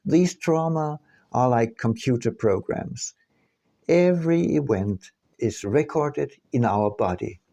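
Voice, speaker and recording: Slow, gravelly man reading an audiobook. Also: mid-range voice, expressive, warm tone. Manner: measured, deliberate phrasing with pauses. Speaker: author reading own book aloud. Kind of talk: scripted audiobook narration.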